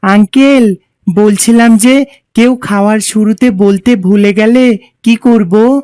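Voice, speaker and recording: A woman's voice: medium pitch, takes it slowly, dark tone, no room sound, close to an everyday voice. A woman playing a polite, well-behaved, earnest young boy in a cartoon. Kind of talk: didactic cartoon dialogue.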